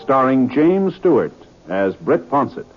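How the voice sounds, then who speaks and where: A male program announcer speaks in formal vintage radio style with very animated intonation. Faint music plays behind him, and the recording is dull. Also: mid-range voice, unhurried.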